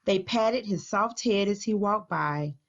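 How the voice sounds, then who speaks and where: A woman reading a children's storybook aloud as a teacher would, clearly, deliberately and expressively. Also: medium pitch, highs cut off.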